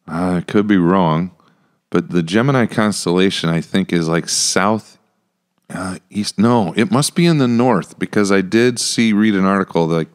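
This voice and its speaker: Low male voice, American accent, podcast host, casual, rambling, thinking aloud, close studio mic. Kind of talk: casual discussion. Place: small podcast room with acoustic panels. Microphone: close studio mic on stand.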